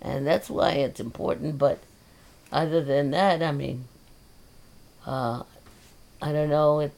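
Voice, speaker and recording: A middle-aged woman, a retired teacher, chatting casually as a guest and speaking haltingly with many fillers and restarts. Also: sits low, steady tone, vocal fry, a little mellow. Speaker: guest, mother of the host. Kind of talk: casual family chat.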